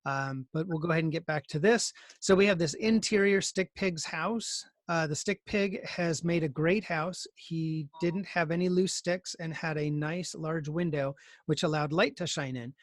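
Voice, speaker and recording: Higher-pitched man teaching casually, dry sound. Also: moderate pace, few pauses, dry recording. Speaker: teacher leading a screenwriting class. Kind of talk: casual explanatory lesson. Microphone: video call.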